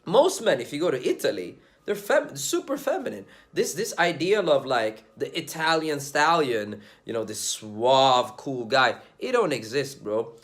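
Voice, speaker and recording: A man's voice: medium pitch, big pitch swings, unhurried, hardly any pauses, fairly bright tone. A young man from Sweden talking casually and bluntly to camera, slangy and swearing as he gives his views on dating. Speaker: dating commentator vlogger.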